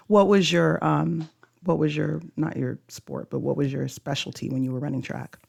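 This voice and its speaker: A woman's voice: low voice, level tone, moderate pace, creaky, darkish tone, husky. A woman hosting a podcast interview, who talks casually and encouragingly and laughs often. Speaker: podcast host.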